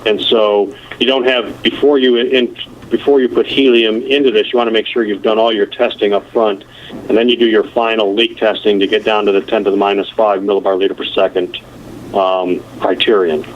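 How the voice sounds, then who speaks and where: A man giving a halting technical explanation as an engineer presenting on a telephone conference call. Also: on the low side, flat delivery. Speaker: nuclear plant engineer presenting. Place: conference call over the phone. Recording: muffled, noisy telephone conference line.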